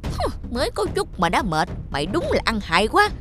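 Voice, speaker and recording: A woman voicing a greedy, stingy old male landlord in a cartoon, gruff and bossy. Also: fairly high pitch, wildly swooping pitch, fairly quick. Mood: scolding. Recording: studio voice with loud music.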